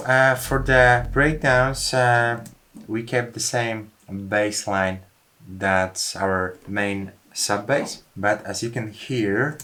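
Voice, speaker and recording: Low-voiced man, casual music producer. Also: fairly bright tone. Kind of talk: casual track breakdown tutorial. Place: music studio. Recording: music playing behind the voice.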